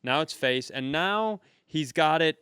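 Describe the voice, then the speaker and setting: Man, comedy podcast co-host, smooth clear voice, fairly high, dry close sound, animated storytelling. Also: measured pace, dry recording. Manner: animated storyteller, swears, laughs often. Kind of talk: casual storytelling chat. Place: comedy club, live.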